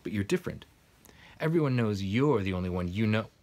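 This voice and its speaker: A man's voice: lowish voice, animated, a little fast, darkish tone, voice pushed up, natural voice. A man voicing a stern, anxious older female professor of witchcraft from a storybook, prim and insistent.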